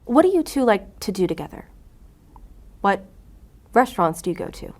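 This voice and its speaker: An actress playing a driven, short-tempered special agent in an audio drama, blunt and confrontational. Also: female voice, mid-range voice, big pitch swings, normal speed, darkish tone. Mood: pressing, accusing. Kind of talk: audio fiction acting.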